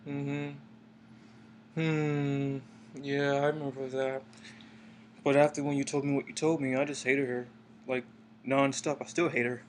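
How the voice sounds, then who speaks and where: A man's voice: medium pitch, level tone, measured pace, long pauses. A young man chatting casually about an anime, hesitant and trailing off at times. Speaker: co-host. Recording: faint background noise.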